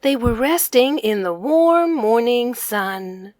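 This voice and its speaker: A woman reading a children's picture book aloud, expressive and deliberate, on a close mic. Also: on the high side, dry recording. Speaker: children's story reader. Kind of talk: read-aloud storytelling.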